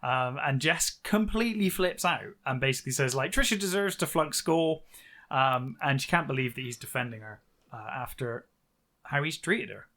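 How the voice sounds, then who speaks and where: A man's voice: mid-range voice, lively intonation, normal speed, bright, forceful tone. A British man co-hosting a podcast, recapping a book in a casual, wry and sarcastic way. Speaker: podcast co-host.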